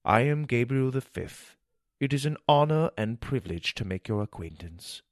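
A man voicing an ancient, wise enchanted sword that speaks softly, calmly and slowly in a storybook reading. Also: medium pitch, no echo, studio quality, natural voice. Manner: soft, calm, slow and measured. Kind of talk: storybook character voice.

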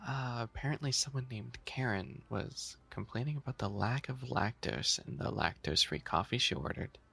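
A man playing a flustered, bookish animal-boy maid cafe manager in boyfriend ASMR roleplay, speaking softly and hesitantly with a teasing sweetness. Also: mid-range voice, lively intonation, normal speed, vocal fry, husky, soft background music, full character voice. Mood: weary, sheepish.

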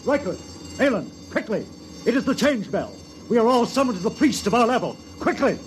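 An urgent, high-pitched messenger. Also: male voice, brisk, music in the background. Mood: urgent, alarmed. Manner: urgent, excited. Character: urgent messenger summoning spaceship people. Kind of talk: old-time radio drama acting. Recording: vintage radio drama with loud background sound.

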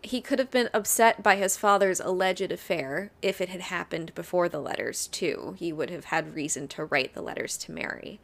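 Podcast co-host, female, lower voice, relaxed and chatty while telling a true crime case. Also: moderate pace, gravelly, few pauses. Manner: chatty, laughs often. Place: Ohio.

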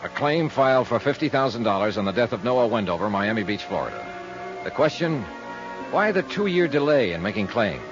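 A male actor with an American accent playing a cool, steady insurance investigator in a 1950s radio detective drama. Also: mid-range voice, fairly quick, music in the background. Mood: brisk, businesslike. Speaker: lead actor and narrator of a radio detective serial. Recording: muffled 1950s radio recording.

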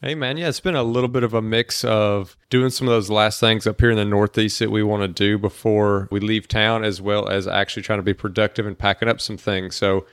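A man's voice: lowish voice, brisk, hardly any pauses, clean tone, no echo. Young man co-hosting a podcast, dry sound. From Boston area, US. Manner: relaxed, rambling, lots of fillers like "like". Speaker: podcast co-host. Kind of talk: casual chat.

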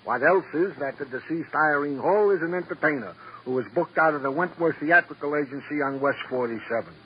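A man's voice: a little high, fairly quick, lightly acted. A man playing a fussy, devoted police assistant with ornate, precise speech in a classic radio drama. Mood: fussy, attentive. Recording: dull, noisy old radio recording.

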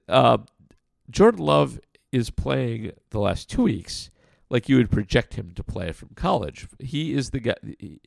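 A man hosting a casual podcast, answering listener questions in a blunt, frank way with lots of fillers; his voice sounds rough like sandpaper. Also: mid-range voice, no echo. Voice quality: gravelly, like sandpaper. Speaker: podcast host.

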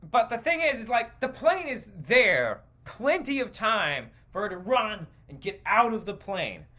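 An American man with a bright, forceful voice delivers a comedic podcast rant. His pitch swings widely as he repeats himself for emphasis. He sounds exasperated and swears freely. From United States. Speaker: podcast host. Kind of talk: stream-of-consciousness comedic rant.

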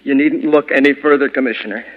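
A man's voice: medium pitch, quick pace, phone-quality sound, natural voice. An actor playing an anguished, vengeful young accused man, earnest and melodramatic, in old radio drama style. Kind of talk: 1940s radio acting.

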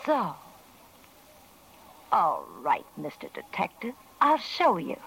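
An actress playing a tough, defiant carnival dancer in an old-time radio crime drama, snappish and slangy. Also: female voice, fairly low pitch, huge pitch swings, slow pace, creaky, a bit bright, husky, exaggerated voice. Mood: angry, defiant. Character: hard-boiled, defiant female carnival show dancer under suspicion. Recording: noisy vintage radio recording.

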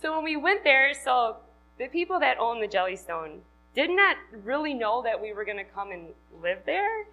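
A woman's voice: on the high side, very animated, moderate pace, phone-quality sound, slight background noise. A woman in her thirties from Wisconsin with a Wisconsin accent, giving a chatty, upbeat talk to a live audience and laughing often. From Wisconsin, USA. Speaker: conference speaker.

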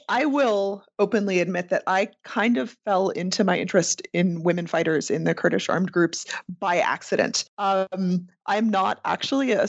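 A woman's voice: mid-range voice, vocal fry, no room sound, lo-fi sound. A woman political scientist answering interview questions in a candid, conversational way. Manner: candid, conversational, self-deprecating.

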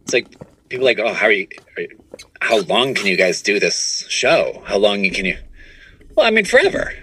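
A man talking casually as a comedian guest on a podcast, animated and often restarting his phrases. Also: on the low side, brisk, a little hiss or hum. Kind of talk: casual conversational interview answers.

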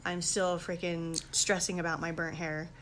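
A pregnant young woman co-hosts a podcast in a quiet, lowish voice. She jokes and giggles her way through casual chat. A faint hiss sits under the recording. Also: flat delivery, normal speed. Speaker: podcast co-host.

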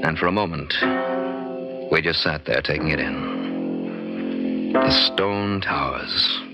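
An actor playing a brisk, sceptical American archaeologist in an old-time radio drama, speaking in a clipped, dramatic way. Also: male voice, extremely low pitch, expressive, heavily acted. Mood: suspenseful narrating. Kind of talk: old-time radio drama acting and first-person narration. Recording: dull old radio recording, music behind.

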